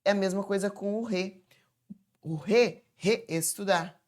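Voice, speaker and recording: A woman teaching a chatty, informal grammar lesson from home, stretching words out slowly to demonstrate how they sound. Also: mid-range voice, darkish tone. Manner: chatty, addresses viewers as "gente". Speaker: Portuguese teacher.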